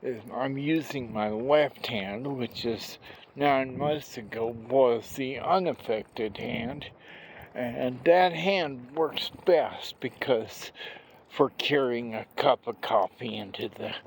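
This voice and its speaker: A man with a gravelly voice from a stroke, talking slowly and haltingly in a casual vlog while out walking, recorded on a handheld phone. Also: mid-range voice, slight background noise. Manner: rambling, many pauses and restarts. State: recovering from a stroke, slow effortful speech. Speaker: vlogger. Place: outdoors on a walk.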